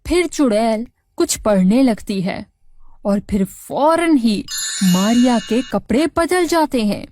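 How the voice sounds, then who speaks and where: Slow, lively woman storyteller. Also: fairly high pitch, a little hiss or hum. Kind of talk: scripted children's story narration.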